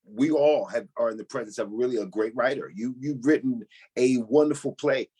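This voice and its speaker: A man's voice: mid-range voice, moderate pace, dark tone, husky. A man giving expansive, emphatic answers as an interview guest in a conversational style. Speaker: stage actor, interview guest.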